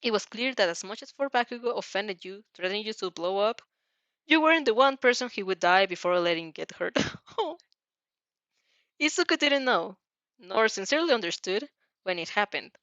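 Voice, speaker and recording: A woman narrates fan fiction aloud in a dramatic, slightly halting way. Her pitch is average, and the recording is dry and a little muffled. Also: lively intonation, a bit bright, no room sound.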